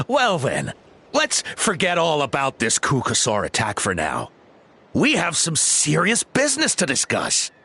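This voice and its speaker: Theatrical, high-pitched gadget salesman. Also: wildly swooping pitch, measured pace, pushed and bright, husky, heavily acted. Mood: eager. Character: upbeat, showy male merchant selling flying gadgets. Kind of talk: video game voice acting.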